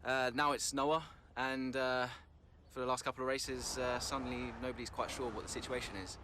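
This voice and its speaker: A man's voice: mid-range voice, little pitch movement, moderate pace, bright, forceful tone, slight background noise, natural voice. A man playing a good-natured young racing driver in a video game, talking in a hesitant, unassuming, conversational way. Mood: uneasy, hesitant.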